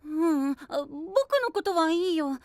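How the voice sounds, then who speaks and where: 甘く可愛い少年. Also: male voice, very high-pitched, moderate pace, no room sound. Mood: sad. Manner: bubbly, sweet, boyish. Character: cute cheerful young boy, playful companion. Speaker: voice actor. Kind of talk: anime voice drama.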